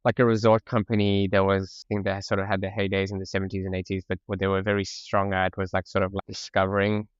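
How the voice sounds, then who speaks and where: A man's voice: sits low, level tone, quick pace, hardly any pauses, clean tone, no room sound, dull audio. A man with a mixed French and South African accent, talking as a podcast guest in an easygoing way, often laughing. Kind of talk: casual conversational interview.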